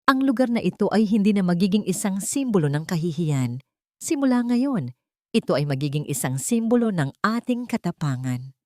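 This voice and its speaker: A woman's voice: fairly low pitch, dark tone, no echo, studio quality. A woman narrator reading a scripted dramatic story.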